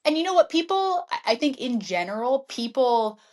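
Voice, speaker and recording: A woman's voice: sits high, sing-song, moderate pace, darkish tone. A woman guest, a real estate agent and mindset coach, talking in an earnest, reflective way in casual interview chat. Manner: reflective, earnest, frequent "I mean" and "yep".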